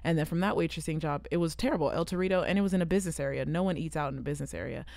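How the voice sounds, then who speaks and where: Fast-talking gravelly young woman podcaster. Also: low-pitched, dry recording, clean studio sound. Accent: Southern California with a British tinge. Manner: rambling, animated storytelling. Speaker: podcast co-host. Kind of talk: casual chat.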